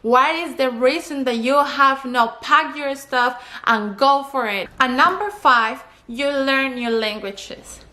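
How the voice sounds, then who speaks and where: Bright-voiced Colombian woman vlogger. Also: sits high, takes it slowly, hardly any pauses. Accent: Colombian Spanish. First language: Spanish. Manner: earnest, advice-giving, counts off points. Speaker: travel vlogger. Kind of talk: talking to camera.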